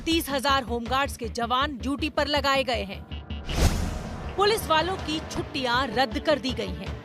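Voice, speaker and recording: Female news voice-over, high pitch, scripted delivery, prominent music bed underneath. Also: lively intonation, moderate pace. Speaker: news reporter.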